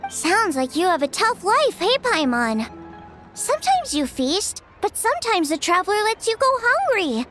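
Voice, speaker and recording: A woman's voice: very high-pitched, very animated, moderate pace, a bit bright, faint music behind, heavily acted. A woman voicing a cheerful, bossy young girl chef in a video game, with a perky, teasing delivery. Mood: playful teasing. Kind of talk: animated video game voice acting.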